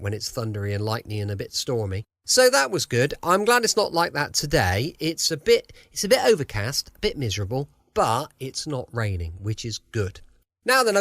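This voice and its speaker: Lively southern English man, chatty podcast host. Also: mid-range voice, no echo. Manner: chatty, informal, tag questions. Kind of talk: casual chatty podcast introduction. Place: quiet room at home.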